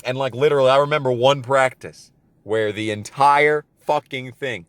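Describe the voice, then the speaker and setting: A man's voice: medium pitch, steady tone, moderate pace, a bit bright, clear, resonant tone, no echo. Young man ranting through a casual story. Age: 20s. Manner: profane, exasperated ranting. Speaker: storyteller on a gaming channel. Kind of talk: casual comedic personal storytelling.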